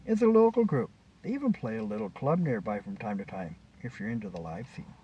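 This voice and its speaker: A man's voice: fairly low pitch, sing-song, a little fast, dull audio. A man voicing a smug, boastful scientist who is suave and showy, in dialogue from a short story read aloud. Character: smug, boastful male scientist wooing a passenger. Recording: distant mic with faint background noise.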